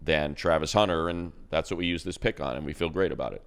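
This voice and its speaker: A man's voice: very deep voice, fast talker, clear, resonant tone. A man, a radio play-by-play announcer, chatting casually about football picks, rambling with restarts and repeated words. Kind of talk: casual sports chat.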